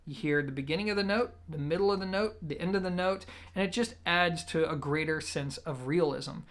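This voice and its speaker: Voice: medium pitch, fairly quick. A reviewer explaining audio gear in a conversational, methodical way with informal asides. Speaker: product reviewer, show host.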